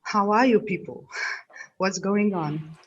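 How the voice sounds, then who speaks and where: Slow, hesitant woman moderating a video call. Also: mid-range voice, slight background noise. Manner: slow, hesitant, many fillers. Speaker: panel moderator. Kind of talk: moderating an online panel. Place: home, dark room. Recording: dull video call audio.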